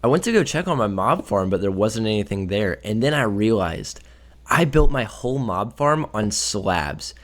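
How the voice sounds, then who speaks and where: A young man with a slightly raspy voice from a cold, casually narrating his gameplay and sometimes tripping over his words. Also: on the low side, very animated, moderate pace. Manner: casual, offhand, trips over words now and then. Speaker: gaming video creator.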